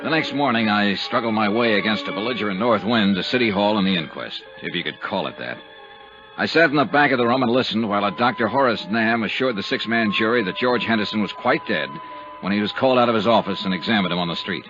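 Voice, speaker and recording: Actor as deep-voiced dogged radio detective. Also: male voice, quick pace, lightly acted. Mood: wry, clipped. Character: dogged, wry male insurance investigator. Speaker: radio drama lead and narrator. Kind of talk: 1950s radio detective acting. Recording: dull old 1950s radio recording, music behind.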